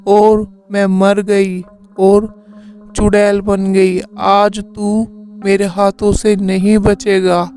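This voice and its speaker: A woman's voice: mid-range voice, warm tone. A woman voicing a vengeful, hungry witch ghost in a horror cartoon story, with a menacing delivery. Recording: music behind the voice.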